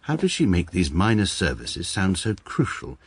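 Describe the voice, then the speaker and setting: An actor playing a middle-aged lawyer narrating his own story in precise, deadpan radio-comedy style. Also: low-pitched, moderate pace, slight background noise. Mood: wry. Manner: precise, dry, pedantic. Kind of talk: dry deadpan first-person narration.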